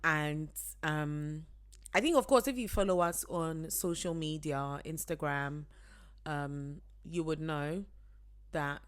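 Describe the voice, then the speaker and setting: A woman in her thirties co-hosting a podcast with her husband, chatting in a relaxed way and laughing easily. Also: deep voice, slow, clear, resonant tone. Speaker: podcast co-host. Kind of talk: casual chat.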